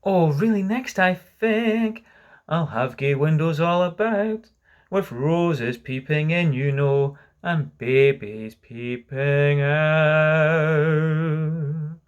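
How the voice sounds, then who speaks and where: A reader singing as dreamy slow-voiced girl. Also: mid-range voice, clear, resonant tone, dull audio. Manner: slow, sung, wishful. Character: Wendy, dreamy girl singing in her sleep. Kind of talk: storybook reading with character voices.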